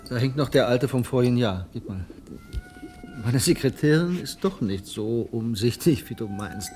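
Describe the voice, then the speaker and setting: A man's voice: mid-range voice, wildly swooping pitch, moderate pace, darkish tone, slight background noise. An actor playing an absent-minded, obsessive and evasive male researcher in a radio drama, hesitant and easily riled. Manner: distracted, hesitant, flaring up arrogantly. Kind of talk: 1980s radio drama acting.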